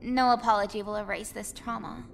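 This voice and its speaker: A woman's voice: a little high, steady tone, fairly quick, over music. A woman playing a blunt, jaded young female mall worker in a visual novel game, sarcastic and exasperated. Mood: disgusted, exasperated. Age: young adult. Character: sardonic, jaded teenage-to-twenties mall worker girl. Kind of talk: voiced visual novel dialogue. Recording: clear background ambience noise.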